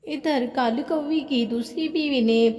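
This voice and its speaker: A woman's voice: sits high, measured pace, a little mellow. A woman narrating a scripted moral story for children as the storyteller. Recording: long hall reverb.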